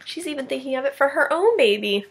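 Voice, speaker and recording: A woman's voice: high voice, expressive, fast, creaky, slight background noise. A woman teacher reading a children's story aloud and chatting encouragingly to her class, checking in with her listeners. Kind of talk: expressive children's read-aloud with asides.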